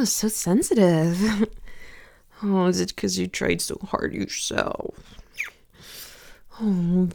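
A woman whispering softly and teasingly in a possessive, intimate audio roleplay, laughing often, close to the microphone. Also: on the low side, gravelly, slightly rough, dry recording.

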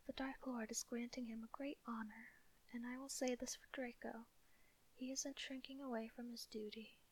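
Ruthless fanatic woman, proud n scornful, whispered, husky and flat. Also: fairly high pitch, normal speed, poor audio.

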